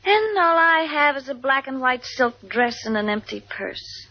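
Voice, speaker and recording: A young, penniless and ambitious woman in a fifties radio drama. Her very high voice moves animatedly, earnest and politely sweet. The recording is muffled, like an old broadcast. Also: animated, unhurried. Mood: pleading, eager. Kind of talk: 1950s radio drama acting.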